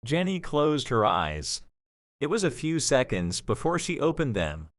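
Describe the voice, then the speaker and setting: Expressive male storyteller with lively intonation. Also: medium pitch, measured pace, no room sound. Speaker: narrator. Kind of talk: scripted storybook narration for audio drama.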